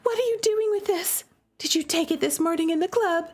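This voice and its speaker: A woman voicing a fierce teenage girl who gives sharp, commanding orders in a game read-through. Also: brisk, soft background music. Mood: shrill, urgent. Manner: shrill, commanding. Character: fierce teenage girl, protective older sister.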